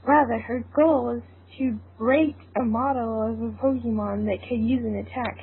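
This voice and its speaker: A woman's voice: on the high side, steady tone, moderate pace, vocal fry, breathy edge. A woman reading scripted fan fiction narration as an amateur narrator. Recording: tinny, band-limited, noisy amateur recording.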